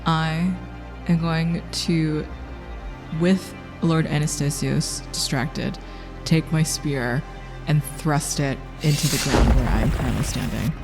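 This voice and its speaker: Gravelly higher guy voice, player describing his character's moves, faint music. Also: slow pace. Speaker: tabletop game player. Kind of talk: conversational in-game play, describing a character's actions.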